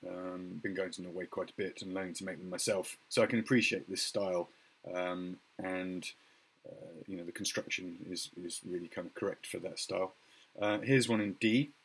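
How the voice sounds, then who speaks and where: Croaky middle-aged man, hesitant, lowish voice. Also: moderate pace, slight background noise. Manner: relaxed, hesitant with many ums and uhs. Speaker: music shop owner showcasing instruments. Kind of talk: casual product demo video. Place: home music room indoors.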